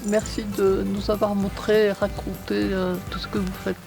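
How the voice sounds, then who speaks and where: A woman's voice: medium pitch, little pitch movement, normal speed, gravelly. Woman interviewer with music behind. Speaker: interviewer, visitor. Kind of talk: casual documentary chat.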